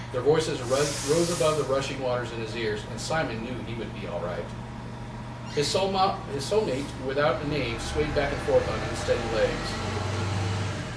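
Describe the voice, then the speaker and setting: A man reading his own prose aloud as an author at a live reading, in deliberate short phrases. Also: medium pitch. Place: indoor bookshop reading event. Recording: roomy, distant mic, faint noise and hum.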